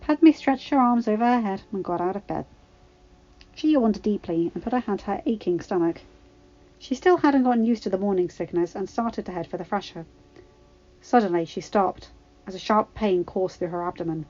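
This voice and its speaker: A woman reading scripted fiction aloud as an expressive story narrator. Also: medium pitch, moderate pace, highs cut off. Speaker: podfic narrator.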